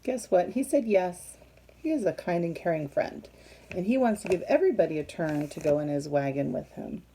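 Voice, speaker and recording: A woman reading a picture book aloud to young children, expressive and teacherly, pausing to put questions to her listeners. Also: lowish voice, slight background noise, poor audio.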